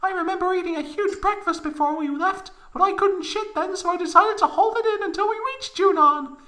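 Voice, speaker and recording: High-pitched young woman acted by a woman, bright voice, proud, melodramatic, overwrought, giggle-prone, comedic fanfic reading. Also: a little fast. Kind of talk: dramatic comedic fan fiction reading.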